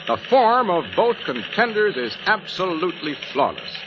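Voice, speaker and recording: An actor playing a slick radio sports announcer in a 1940s radio drama, with smooth announcer delivery. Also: male voice, high voice, big pitch swings, moderate pace, noisy background. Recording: muffled old radio recording with music.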